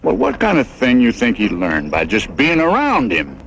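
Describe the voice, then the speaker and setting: An actor playing a worried, plain-spoken rancher in a Western drama, with a folksy American Western accent and an earnest manner. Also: male voice, medium pitch, wildly swooping pitch, normal speed, a bit bright, highs cut off, slight background noise. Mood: concerned. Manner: folksy, earnest, rustic speech. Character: plain-spoken, worried male rancher neighbor, warning a friend.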